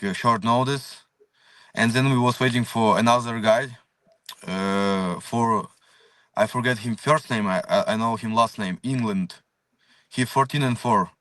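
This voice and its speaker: A man's voice: fairly low pitch, a bit bright, no room sound, recorded from a distance. A young man from Ukraine, a fighter, answering interview questions in full of fillers, plain and determined. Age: young adult. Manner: non-native English, many fillers, plain and determined.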